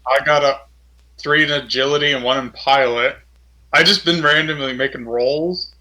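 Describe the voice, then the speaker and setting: A man's voice: mid-range voice, fairly even intonation, moderate pace, bright, forceful tone. A man playing a tabletop role-playing game and talking casually about his moves and rolls.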